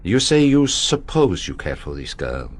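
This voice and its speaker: An actor in a radio drama playing a courteous, shrewd Belgian detective with a French-speaking accent and formal, precise phrasing. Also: male voice, deep voice, wildly swooping pitch, a little slow, full character voice. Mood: probing, courteous. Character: shrewd, courteous foreign male private detective, probing and measured.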